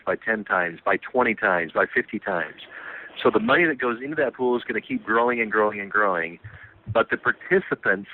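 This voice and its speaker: Man presenting over a tinny phone line. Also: lowish voice. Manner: earnest, repetitive, frequent restarts and fillers. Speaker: company leader on a conference call. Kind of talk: business conference call presentation. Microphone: telephone.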